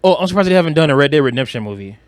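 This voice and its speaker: Male co-host, somewhat high pitch, dry recording, casual slangy banter. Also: lively intonation, racing, no room sound. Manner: slangy, conversational. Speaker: podcast co-host. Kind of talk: casual chat about video games and film.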